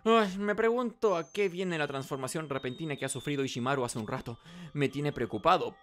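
A man's voice: on the high side, fairly quick. A man streaming a video game, reading its dialogue aloud with casual commentary. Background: game music.

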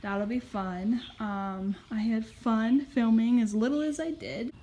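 A fairly high, mellow-toned woman chatting casually to her camera at a horse barn. She talks in a giggly, chatty way full of quick asides, with a faint hum of barn ambience behind her. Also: dull audio. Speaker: horse vlogger. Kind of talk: casual vlog chat to camera.

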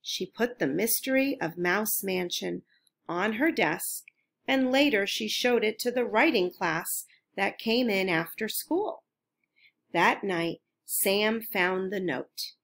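Slow-paced woman reading a storybook. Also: medium pitch, gravelly, fairly bright tone. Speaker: storybook reader. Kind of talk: children's picture book read-aloud.

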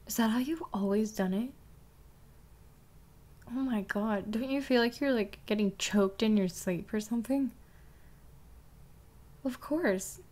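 A woman's voice: on the high side, a little slow, pauses often, a little mellow, natural voice. A young woman playing a bossy, teasing step-sister in an intimate ASMR roleplay, often dropping toward a whisper. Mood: teasing, relaxed.

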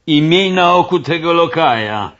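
A man acting a brusque, self-assured police inspector in a radio play, commanding and condescending, with drawn-out emphasis. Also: fairly high pitch, expressive, a bit bright, highs cut off. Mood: smug, confident.

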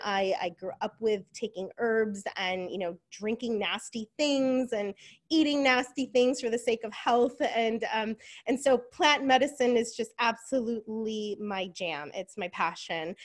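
A woman in her thirties from Southern California, leading an online workshop in a chatty way that keeps breaking off to read the chat, heard over a laptop mic on a video call. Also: on the high side. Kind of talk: casual live webinar teaching. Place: home room on a video call.

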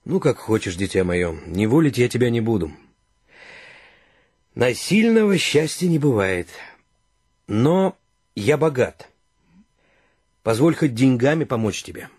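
An actor playing an earnest, generous gentleman in a radio play, with a husky voice. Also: medium pitch, very animated, measured pace, pauses often, no echo, clean studio sound. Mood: kindly. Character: earnest, generous, well-off returned brother, middle-aged gentleman.

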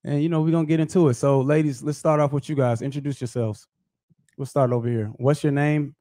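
A man hosting a casual podcast, talking in a hyped, slangy way with loud greetings. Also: fairly high pitch, fairly even intonation, fast, dry recording, clean studio sound. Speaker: podcast host.

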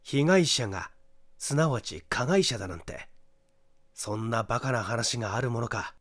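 A man's voice: medium pitch, expressive, normal speed, fairly bright tone. A male audiobook reader narrates a mystery story. It is scripted storytelling, read aloud by one man. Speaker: audiobook reader, stage actor.

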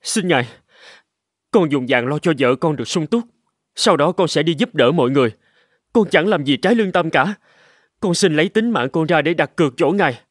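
Pleading, high-voiced young husband. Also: male voice, lively intonation, fairly quick, no echo, studio quality. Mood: eager pleading. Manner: earnest, pleading, stammering when flustered. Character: naive, obsessed young husband pleading to learn gold-making. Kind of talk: animated folk-tale dubbing. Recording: music bed under the voice.

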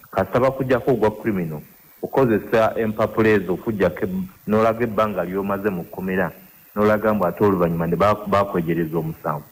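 A man's voice: low-pitched, slightly rough, low-quality recording. A man speaking as a lawyer, explaining step by step in an interview clip.

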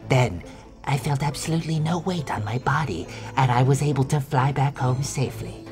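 A man playing a boastful village ghost telling tall tales in theatrical style in an animated fairy tale, recorded with music behind him. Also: medium pitch, moderate pace, natural voice. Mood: eerie, playful. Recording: voice over loud background music.

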